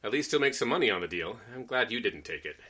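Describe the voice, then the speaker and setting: A man playing a brisk, skeptical, wry newspaper reporter in an old-time style radio play. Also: fairly low pitch, expressive, bright, forceful tone, slightly rough, full character voice. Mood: wry, dismissive.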